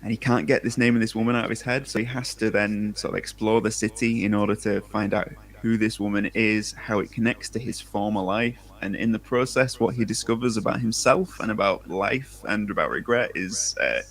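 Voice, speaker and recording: A man with a low voice and plenty of creak in it. He talks casually and informally, rambling along in a self-deprecating way, as an author in a relaxed chat. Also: fairly quick, few pauses. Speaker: debut sci-fi author, livestream guest.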